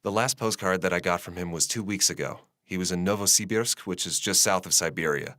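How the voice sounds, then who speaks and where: A man's voice: low voice, brisk, bright, forceful tone, no room sound, clean studio sound. A man playing a tired, earnest radio journalist talking conversationally as an interviewee in a drama. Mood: tired, wry.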